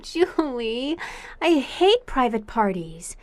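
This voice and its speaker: A woman's voice: a little high, big pitch swings, slow pace, a little mellow. Wry noir nightclub showgirl. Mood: reluctant. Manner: wry, playful, teasing banter. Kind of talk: 1950s-style noir radio drama acting.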